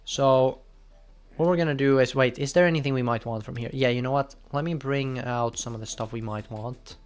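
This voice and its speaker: Excitable young man streaming. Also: mid-range voice, a little fast, faint music behind. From Finland. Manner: chatty, bursts into loud excited outbursts. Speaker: game streamer. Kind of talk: casual live gameplay commentary.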